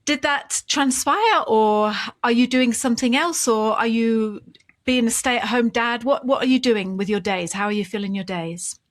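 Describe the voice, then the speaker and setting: A woman hosting a podcast, asking gentle questions and responding with warm encouragement. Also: on the high side, normal speed. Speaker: podcast host. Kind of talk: casual conversational interview.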